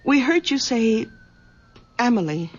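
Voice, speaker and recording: Casual: a gentle worried daughter, kinda high, old lo-fi radio. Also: female voice, sing-song, a little slow. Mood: anxious. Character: anxious middle-aged daughter, gentle. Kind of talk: 1940s radio acting.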